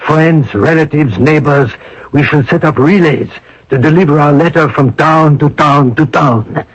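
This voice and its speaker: Commanding husky French Monsignor. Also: male voice, fairly high pitch. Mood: resolute. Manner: brisk, authoritative. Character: commanding, impatient French Monsignor leading the resistance. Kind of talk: radio drama acting. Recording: muffled old radio broadcast.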